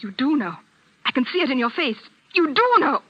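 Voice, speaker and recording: Worried young daughter in an old radio play, high voice, insistent and dramatic, muffled sound. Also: female voice, very animated, fairly quick. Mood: frantic. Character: anxious, insistent young woman, clergyman's daughter. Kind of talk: 1940s radio acting. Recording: muffled vintage radio recording, music behind.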